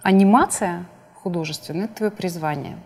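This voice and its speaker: A woman's voice: lowish voice, fairly quick, a little mellow, breathy edge. A woman in her thirties hosting a studio interview in a conversational way. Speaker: interviewer, host. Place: TV studio, seated at desk. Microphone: lapel mic.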